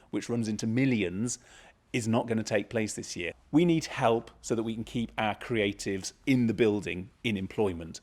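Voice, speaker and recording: A man giving earnest, measured answers in a news interview as a theatre executive. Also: medium pitch.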